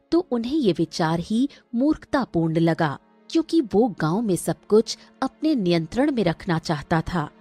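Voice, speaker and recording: A woman reads cartoon story narration at an unhurried pace. Her voice is mellow and a little husky, with music playing underneath. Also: medium pitch, no echo.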